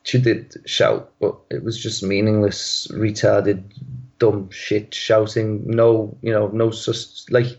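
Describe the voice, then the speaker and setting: A man's voice: lowish voice, flat delivery, slow pace, creaky, a little mellow, muffled recording. A man with a British accent calling in for advice, giving short replies, laughing often and swearing casually. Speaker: caller. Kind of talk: call-in advice conversation.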